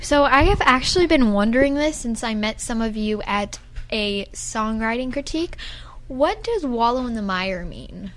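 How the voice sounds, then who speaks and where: Teenage girl, co-host, fairly high voice, chatty and eager, casual interview chat. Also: lively intonation, a bit bright, slight background noise. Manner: chatty, enthusiastic, quick to agree.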